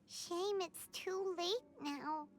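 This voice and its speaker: A woman's voice: extremely high pitch, a little hiss or hum, heavily acted. A woman voicing a tiny childlike fairy companion in a video game, curious and sympathetic, with a cute, earnest manner. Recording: background music under the voice.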